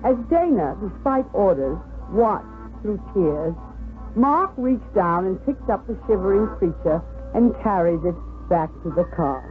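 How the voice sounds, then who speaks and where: A woman's voice: mid-range voice, expressive, measured pace, faint music behind. An American woman giving scripted narration for a 1950s radio drama. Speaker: story narrator. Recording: old narrow-band radio broadcast.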